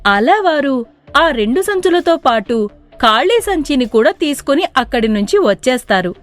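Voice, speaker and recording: Lively woman storyteller, fairly high voice. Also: moderate pace, faint music behind. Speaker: narrator. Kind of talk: scripted storybook narration.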